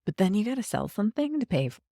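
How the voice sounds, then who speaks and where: A woman's voice: medium pitch, big pitch swings, quick pace, mellow, no room sound, clean studio sound. A woman hosting a podcast, chatting upbeat and conversational with her guest. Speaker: podcast host. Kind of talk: casual interview chat.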